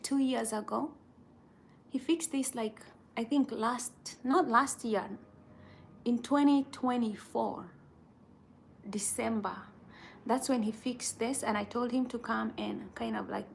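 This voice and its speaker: A woman with a soft voice, chatting casually in a rambling way that circles back over phrases. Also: on the high side, steady tone, unhurried, creaky, a little hiss or hum. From Kenya. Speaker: vlogger chatting with viewers. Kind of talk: casual chat.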